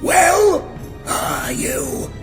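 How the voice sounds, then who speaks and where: An actor playing a menacing, taunting vampire who accuses and interrogates in a theatrical audio drama. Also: male voice, extremely high pitch, steady tone, distant mic, loud music behind the voice, heavily acted. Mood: mocking. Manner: taunting, theatrical, slow deliberate delivery. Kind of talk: fan audio drama acting.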